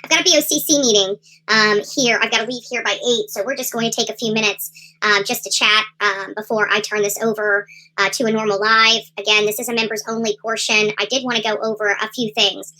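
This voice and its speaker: A woman hosting a livestream in a casual, rambling commentary style with halting delivery. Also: on the high side, fairly even intonation, bright, forceful tone, poor audio. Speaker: livestream host. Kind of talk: casual livestream chat, true crime commentary.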